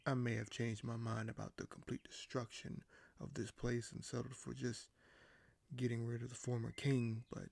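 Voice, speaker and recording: An actor playing a scheming, courteous young king in an audio roleplay, speaking formally and hesitantly with deliberate pauses. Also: medium pitch, vocal fry, a little mellow. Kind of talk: audio roleplay acting, addressed to the listener.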